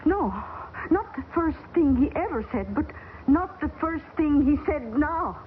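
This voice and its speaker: A woman's voice: sits high, lively intonation, unhurried. An earnest stranded woman. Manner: quiet, earnest, slightly formal English. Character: stranded young Russian woman, soft and earnest. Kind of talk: 1970s radio drama acting. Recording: tinny, hissy old radio broadcast.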